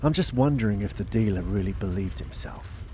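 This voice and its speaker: A man's voice: lowish voice, lively intonation, brisk, dark tone, a little hiss or hum, lightly acted. A man acting in an audio drama as an uneasy, doubting private investigator with a hesitant, questioning way of talking. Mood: doubtful. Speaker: voice actor.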